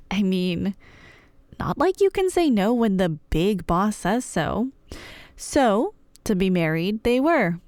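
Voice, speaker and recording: A woman's voice: medium pitch, big pitch swings, takes it slowly, no room sound. Casual, wry woman storyteller, close and dry. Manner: wry, irreverent, slangy, conversational. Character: chatty, playful, nerdy girlfriend telling myths. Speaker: audio roleplay performer playing a girlfriend. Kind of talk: casual rambling storytelling, scripted ASMR roleplay.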